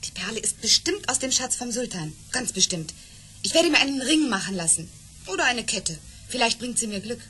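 An actress playing an eager, cheeky young tavern hostess in a radio play, with a breathy, husky edge to her voice. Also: on the high side, very animated, fairly quick, sharp tone, full character voice. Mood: excited, dreamy. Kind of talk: German radio play acting.

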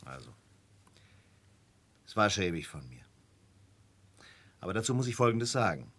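An actor playing an estranged older brother who pleads earnestly and hesitantly, with a husky voice, in a German dub of a stage drama. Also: male voice, fairly low pitch, sing-song, measured pace, fairly bright tone, exaggerated voice. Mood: pleading.

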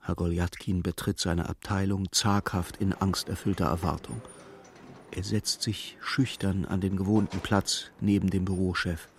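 An actor playing a sober storyteller, narrating a literary radio play in a husky, measured, dramatic way. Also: male voice, deep voice, flat delivery, a little hiss or hum. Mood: hushed, suspenseful. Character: sober male storyteller following a troubled clerk.

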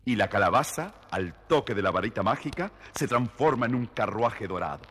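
A man, giving expressive scripted narration of a children's story. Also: fairly low pitch, a little fast. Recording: reverberant old record, faint noise.

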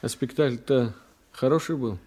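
An actor playing a police investigator in a Russian radio play, polite, measured and quietly ironic as he questions witnesses. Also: medium pitch, husky, close to an everyday voice. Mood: casually probing.

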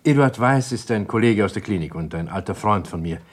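An uneasy, guarded doctor with a fairly low, husky voice. He speaks haltingly and evasively, in the manner of a radio crime drama. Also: lively intonation. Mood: explanatory. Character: uneasy male doctor, guarded jealous husband.

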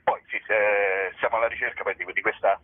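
A man's voice: lowish voice, level tone, a little fast. A man in a casual interview as a theatre comedian, talking in a hesitant, wry, rambling way. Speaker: theatre comedian and writer presenting a show. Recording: narrow-band telephone line, radio interview.

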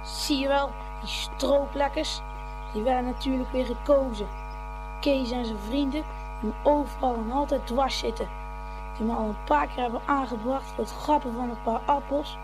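An actress playing a defiant, grumbling schoolboy in a radio play, quarrelsome and indignant. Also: female voice, high voice, steady tone, moderate pace, music in the background, lightly acted, rough recording.

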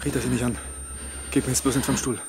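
Rapid, clipped man as an engineer. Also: mid-range voice, steady tone, over music, lightly acted. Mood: urgent, hushed. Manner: clipped, pressing. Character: terse, urgent male engineer giving hushed orders. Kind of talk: naturalistic radio drama acting.

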